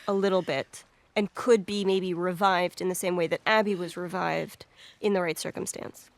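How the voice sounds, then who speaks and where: A woman's voice: lowish voice, fairly even intonation, moderate pace. A woman talking casually and analytically as a developer commenting on game cutscenes, earnest in the way she explains.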